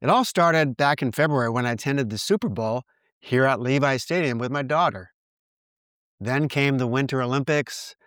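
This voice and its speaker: A man in his sixties from the United States hosting his own podcast, reading reflective narration in an earnest, measured way. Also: medium pitch, a bit bright, smooth, no room sound, studio quality. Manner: earnest, measured, reads from a script. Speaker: podcast host.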